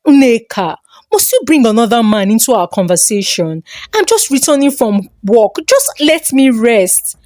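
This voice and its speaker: A weary, henpecked Nigerian husband, played by a woman storyteller, meek and placating in a folktale. The voice is fairly high and sits close and dry. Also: sing-song, a little slow, darkish tone, no room sound. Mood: weary, pleading.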